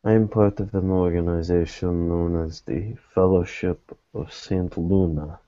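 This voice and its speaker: A low, mellow-voiced, guarded investigator played by a man in a tabletop roleplaying game. He picks his words slowly and formally, weary and deliberate. The recording is dull and dry. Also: fairly even intonation, no room sound. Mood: grave, measured. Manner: slow, halting, deliberate, formal phrasing. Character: weary, guarded male private investigator in secret fellowship.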